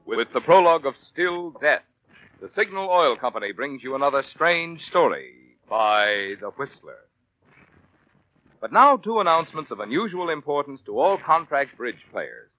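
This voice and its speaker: A male 1940s radio announcer with a fairly high, very lively voice. He delivers scripted sponsor messages with polished emphasis on a muffled old recording. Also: normal speed, soft background music.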